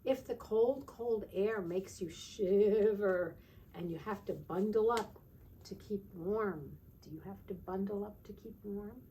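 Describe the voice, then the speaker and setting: Woman, children's storytime teacher. Soft-edged mellow tone, sing-song read-aloud with little questions to the listeners, slight background noise. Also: medium pitch, unhurried, poor audio.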